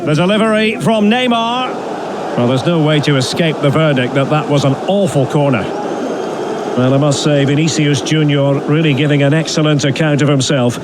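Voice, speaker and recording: A man's voice: on the high side, moderate pace, close to an everyday voice. A man voicing an eloquent, theatrical football commentator in a video game, with formal, wordy phrasing. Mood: measured, observant. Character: male football match commentator, eloquent and excitable. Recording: very loud stadium noise behind.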